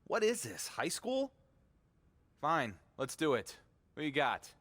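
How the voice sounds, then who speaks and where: A man's voice: a little high, wildly swooping pitch, takes it slowly, fairly bright tone, long reverb tail, exaggerated voice. An actor playing a defensive, sarcastic wrestling champion in a video game, a man with a wry, casual way of talking. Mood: exasperated. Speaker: wrestling champion and show co-host. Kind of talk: scripted video game cutscene acting.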